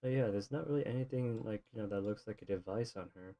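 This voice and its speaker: A man's voice: lowish voice, fast, mellow, slight background noise. A man giving casual video game commentary, thinking aloud in a rambling way. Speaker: streamer playing a video game. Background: video game music.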